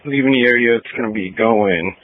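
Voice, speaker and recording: A man's voice: mid-range voice, brisk. A man working as a patrol officer, making call-ins from the field over police radio. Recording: thin phone-like police scanner audio.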